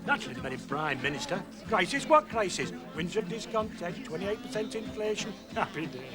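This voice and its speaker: An actor playing an older pub regular who rambles and grumbles about the past. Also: male voice, high-pitched, lively intonation, a little fast. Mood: grumbling. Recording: music behind.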